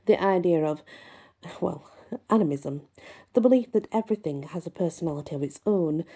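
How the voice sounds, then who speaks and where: A woman host reading folklore aloud and musing on it, with deliberate, emphatic phrasing. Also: lowish voice, mellow. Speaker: host and reader. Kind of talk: reading a folklore book aloud with casual asides.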